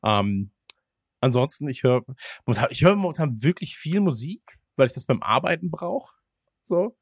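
A man's voice: mid-range voice, expressive, normal speed, husky, dry recording, clean studio sound. A man chatting casually as a podcast host, rambling along with ironic jokes. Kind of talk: casual chat.

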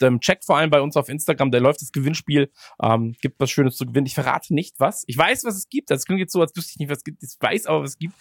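A man's voice: mid-range voice, dry recording. Rambling podcast man, dry sound. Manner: rambling, many fillers, laughs while talking. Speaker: podcast co-host. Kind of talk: casual podcast chat.

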